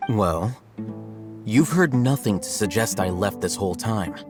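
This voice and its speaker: A man voicing a calm, aloof young male scholar in a video game, measured and dry. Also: lowish voice. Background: music.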